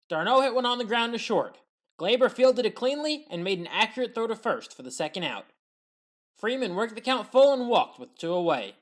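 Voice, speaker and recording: A woman recapping baseball highlights in a voice-over as a home-team fan, reading steady play-by-play with wry asides. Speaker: sports highlights commentator.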